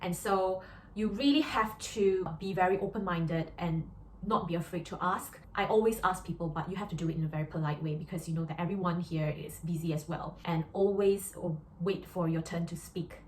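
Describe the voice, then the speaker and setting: A young Malaysian woman vlogs about her life as a doctor. She talks in an earnest, chatty way with lots of fillers, her voice sitting a little low. The room is echoey, with a faint hiss behind her. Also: a little fast, darkish tone. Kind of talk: casual vlog monologue.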